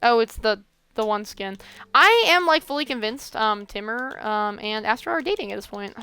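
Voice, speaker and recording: Woman host, clear voice, dry close sound, rambling chat, lots of fillers. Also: fairly high pitch, lively intonation, normal speed, pushed and bright, no room sound, faint music behind. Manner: rambling, many fillers and restarts. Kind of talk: casual chat while building in a game.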